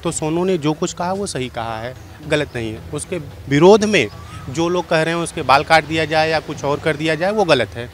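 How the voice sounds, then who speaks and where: A man with an Indian accent giving his opinion in a measured, explanatory way in a street interview. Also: on the high side, a little hiss or hum. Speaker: member of the public giving opinion. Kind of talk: vox pop opinion.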